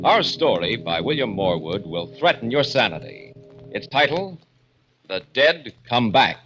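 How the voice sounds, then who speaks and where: Dramatic announcer for a vintage radio horror show, lively and booming. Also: male voice, unhurried. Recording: muffled old radio over loud music.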